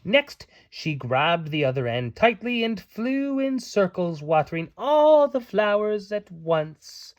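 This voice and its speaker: Man, storybook read-aloud, slow pace, lively swooping delivery, playful, theatrical. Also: high-pitched. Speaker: parent reading aloud to children.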